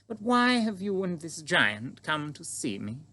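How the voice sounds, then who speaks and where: A man voicing a regal older Queen in an audiobook, with an imperious, deliberate and commanding manner. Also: a little high, sing-song, pushed and bright, voice pushed up, exaggerated voice. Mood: composed, amused. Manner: imperious, drawn-out deliberate speech. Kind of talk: audiobook character acting.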